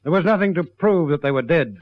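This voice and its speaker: An actor as the narrator of a radio crime drama, speaking in a grave, rhetorical scripted style. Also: male voice, a little high, lively intonation, fairly quick, dry recording, lightly acted. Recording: dry, dull lo-fi radio recording.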